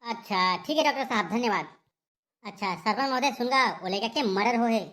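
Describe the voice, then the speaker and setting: A woman acts out a blustering cartoon police inspector with a Chhattisgarhi accent. The voice is high and very quick. The inspector scolds and bullies, firing off curt questions at the villagers. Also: a bit bright, natural voice. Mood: brusque. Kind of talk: Chhattisgarhi comedy cartoon voice acting.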